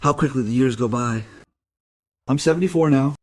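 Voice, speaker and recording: An elderly immigrant man, played by an actor in a crime audio drama. He speaks at a middling pitch, politely and hesitantly, wandering off as he evades questions. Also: moderate pace, natural voice. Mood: evasive. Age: 70s. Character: lonely, evasive elderly Polish immigrant man under questioning.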